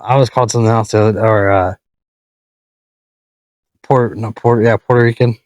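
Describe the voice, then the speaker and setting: A man's voice: on the low side, steady tone, fairly quick, darkish tone, no echo. A man from California with a slight Southern drawl, co-hosting a podcast and telling rambling, profane stories in casual chat. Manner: laid-back, profane, rambling storyteller. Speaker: podcast co-host.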